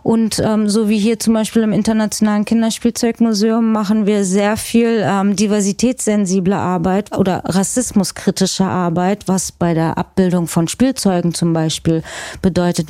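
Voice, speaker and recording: A woman interviews guests for radio with a mellow, relaxed tone. Her pitch is medium, and the sound is dry and close, with semi-prepared questions. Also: no echo.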